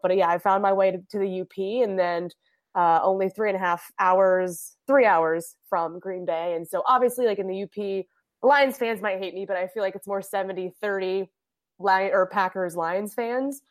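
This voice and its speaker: A young woman sports reporter with a husky voice from a cold, chatting casually as a podcast guest, laughing often and restarting her sentences. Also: mid-range voice, no echo. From Indiana.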